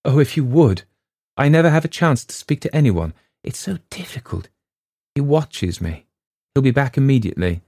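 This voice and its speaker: A man voicing a frightened, trembling young woman who speaks in breathless, hurried pleas. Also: mid-range voice, big pitch swings, creaky, dark tone, no room sound, clean studio sound, voice pushed up. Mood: pleading.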